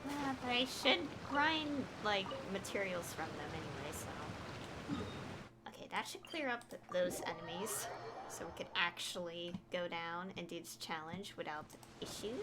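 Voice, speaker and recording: A woman's voice: on the low side, lively intonation, measured pace. A woman giving casual, rambling livestream gameplay commentary, thinking aloud as she plays. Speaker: game streamer. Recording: game music behind the voice. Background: video game music.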